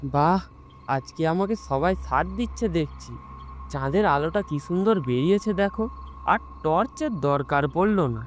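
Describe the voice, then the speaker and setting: A man playing an ordinary, impulsive young man in an animated horror story, conversational and often repeating his words. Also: a little high, moderate pace, a little hiss or hum, close to an everyday voice. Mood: musing, hesitant.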